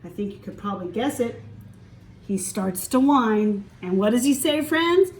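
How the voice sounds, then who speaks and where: A woman storytime teacher reading aloud to preschoolers, expressive, with questions for the young listeners. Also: a little high, moderate pace, reverberant, far from the mic.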